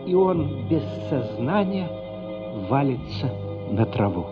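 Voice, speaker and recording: Slow, expressive male radio-play narrator. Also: medium pitch. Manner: expressive, dramatic, deliberate phrasing. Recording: dull lo-fi radio play, music underneath. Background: music.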